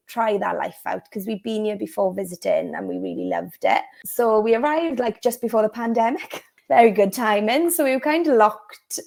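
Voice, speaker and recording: Chatty Welsh woman with a gravelly fry. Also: mid-range voice, darkish tone. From South Wales, UK. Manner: chatty, many "like" and "um" fillers. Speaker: life coach guest. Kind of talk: casual interview storytelling.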